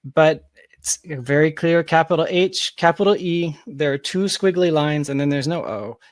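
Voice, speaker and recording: A man chatting casually as a podcast guest, telling anecdotes in an animated manner over a close mic. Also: on the high side, normal speed, dry recording.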